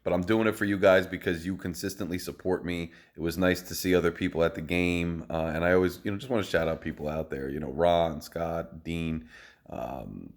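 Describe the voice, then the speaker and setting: A man with a hoarse voice hosting a casual postgame football recap, rambling and enthusiastic, recorded on a phone. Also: low-pitched, fairly even intonation, brisk. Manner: rambling, enthusiastic, many fillers. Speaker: host. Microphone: phone video.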